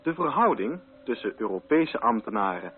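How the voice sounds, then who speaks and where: Male narrator, literary radio drama narration, medium pitch, narrow vintage sound, faint music behind. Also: very animated, normal speed. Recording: narrow-band old radio recording.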